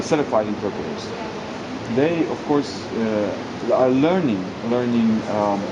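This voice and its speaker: A man giving a halting spoken interpretation in a formal interview, searching for words and repeating them. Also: mid-range voice. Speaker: sign language interpreter voicing an interviewee. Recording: clear air-conditioning-like background hum.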